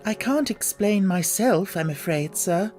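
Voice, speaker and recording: A man's voice: sits high, expressive, measured pace, a little mellow, faint music behind, voice pushed up. Man doing a polite, puzzled young girl. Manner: polite, earnest, a little plaintive. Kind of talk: audiobook character voice.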